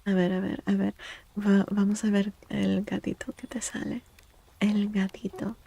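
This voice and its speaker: A soft-spoken woman chatting in a gentle, playful ASMR style as a streamer, often dropping to a whisper. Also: mid-range voice, flat delivery, normal speed, creaky, slight background noise. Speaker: live streamer reading tarot cards for chat. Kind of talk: soft-spoken ASMR chat, greeting viewers.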